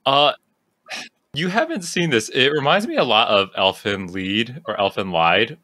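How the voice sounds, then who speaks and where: A man's voice: mid-range voice, sing-song, a little slow, bright, forceful tone, dry recording, studio quality. A man from Long Island with a matching accent, hosting a podcast in playful, joking casual chat. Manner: joking, playful, laughs often. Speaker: podcast host.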